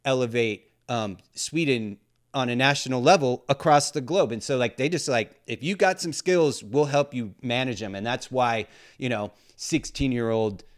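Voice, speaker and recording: A man's voice: mid-range voice, moderate pace. Rambling, swearing male podcast host. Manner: rambling, swearing, lots of fillers. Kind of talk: casual chat.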